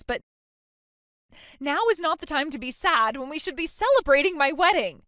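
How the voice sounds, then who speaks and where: A woman's voice: high voice, fairly bright tone, no echo, clean studio sound. A woman playing a self-centered young bride, whiny, oblivious and entitled, in a dramatized story. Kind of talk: dramatized phone-call story dialogue.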